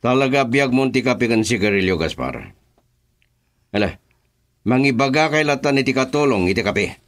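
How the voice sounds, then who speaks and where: A man's voice: mid-range voice, animated, moderate pace, natural voice. A man with an Ilocano accent playing a domineering, jovial crime boss in a radio drama. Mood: teasing, bossy.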